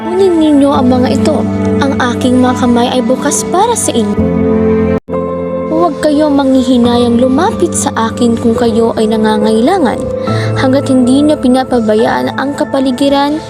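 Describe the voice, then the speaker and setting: A kindly young mountain fairy in a radio play, her tone high and soft. She speaks in a measured, generous way. Music swells loudly and birds chirp behind her. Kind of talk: student radio play acting.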